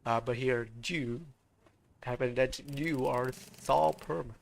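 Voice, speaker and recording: Halting, gravelly male game streamer. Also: mid-range voice, slight background noise. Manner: halting, many fillers and restarts, chatty. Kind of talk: casual gameplay commentary.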